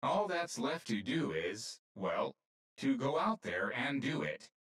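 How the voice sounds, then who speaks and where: A man's voice: medium pitch, very animated, takes it slowly, a bit bright, recorded from a distance. A man playing a pedantic, exacting AI machine in a video game, speaking in a precise, formal way. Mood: encouraging. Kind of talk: video game cutscene acting.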